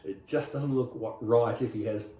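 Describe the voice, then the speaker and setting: Middle-aged Aussie male guest, rambling and relaxed storyteller, echoey room. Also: mid-range voice, normal speed. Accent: Australian. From Australia. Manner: rambling, relaxed, many "uh"s and restarts. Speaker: rockabilly singer, guest. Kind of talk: casual interview storytelling.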